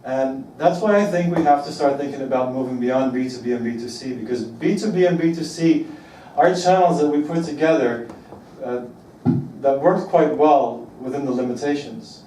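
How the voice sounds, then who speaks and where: A man from Belgium gives a presentation with a mellow, warm voice, stressing key words slowly and posing rhetorical questions. He is picked up from a distance in a roomy hall with a faint hum of ambience behind him. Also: medium pitch. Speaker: speaker giving a talk. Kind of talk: presentation to an audience.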